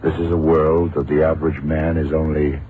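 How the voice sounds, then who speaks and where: A man's voice: very deep voice, level tone, a little fast, a little hiss or hum. An actor playing a commanding, self-assured veteran FBI man in a vintage radio drama. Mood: grave, assured. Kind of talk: 1960s-70s radio drama acting. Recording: tinny old radio recording.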